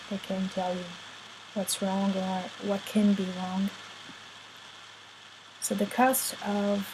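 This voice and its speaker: Woman tutorial host, unhurried and relaxed, steady hiss behind her. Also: mid-range voice. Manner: relaxed, talks while working, many ums. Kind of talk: screen-recorded tutorial walkthrough.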